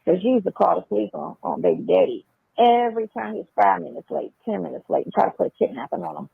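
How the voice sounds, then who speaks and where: Gravelly, husky woman on a tinny phone. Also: mid-range voice, lively intonation, fast, no echo. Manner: blunt, profane, repeats phrases for emphasis. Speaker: phone caller. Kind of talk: casual chat. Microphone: telephone. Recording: tinny, band-limited jail phone line.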